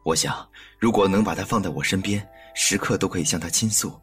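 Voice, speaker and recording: Radio drama husband, male actor: young, deep-voiced, gentle and intimate, with a possessive, obsessive edge. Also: fairly quick, faint music behind. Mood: obsessive, brooding. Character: soft-spoken, obsessive young husband fixated on dolls. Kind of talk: Mandarin audio drama acting.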